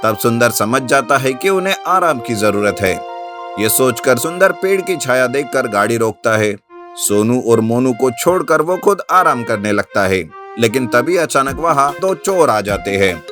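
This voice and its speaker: Male narrator for children's animated fable: medium pitch, scripted storytelling, clear music bed. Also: a little slow. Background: background music.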